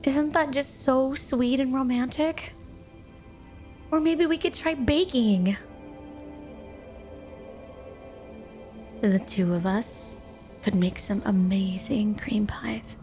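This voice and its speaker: Casting: female performer as clingy yandere snow woman for ASMR roleplay; higher voice, coy, teasing, doting, music underneath. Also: darkish tone. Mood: flirty. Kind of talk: ASMR roleplay spoken to the listener.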